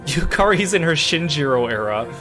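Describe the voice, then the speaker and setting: A man's voice: on the high side, very animated, moderate pace. A man giving casual, rambling let's play commentary on a game, in a conversational way. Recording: game music behind voice. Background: video game music and dialogue.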